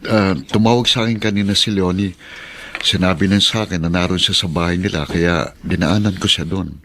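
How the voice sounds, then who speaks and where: A man's voice: low voice, steady tone, a little fast, fairly bright tone, a little hiss or hum. A man playing a meek, placating young husband and son, hesitant and appeasing, in a Filipino radio drama.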